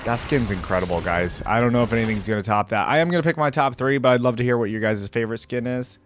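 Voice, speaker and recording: A man's voice: medium pitch, fast, slight background noise. A man giving casual, slangy reaction commentary as a video host, thinking aloud as he goes.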